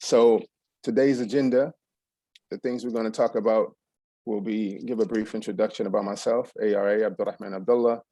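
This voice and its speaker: A man's voice: on the low side, steady tone, a little fast, dark tone, dry recording, poor audio. A middle-aged man from Texas, giving a loose, rambling presentation to an audience with plenty of ums and restarts. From USA, San Antonio, Texas.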